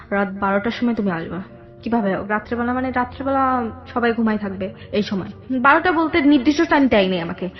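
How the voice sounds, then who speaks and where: A young woman with a Bangladeshi accent telling a personal story conversationally, haltingly, often circling back over details. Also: on the high side, muffled recording. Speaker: guest telling her life story.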